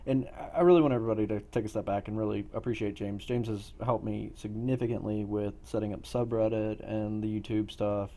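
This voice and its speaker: A man's voice: lowish voice, dark tone. A man speaking casually as he runs a post-game chat for a roleplay group. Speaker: game master of an online tabletop-style roleplay group.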